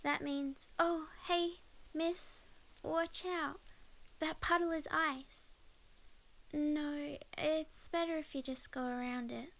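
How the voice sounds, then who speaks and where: A woman playing a young witch, sweet, flustered and chatty, in an ASMR roleplay, speaking expressively and haltingly. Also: high voice, full character voice, poor audio. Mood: flustered, apologetic. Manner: halting, expressive, talks to herself. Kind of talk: ASMR roleplay audio drama monologue.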